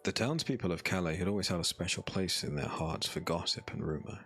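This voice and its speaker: Low-voiced solemn male storyteller. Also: fairly quick, a bit bright, soft background music. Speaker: narrator. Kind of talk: scripted dark fantasy audiobook narration.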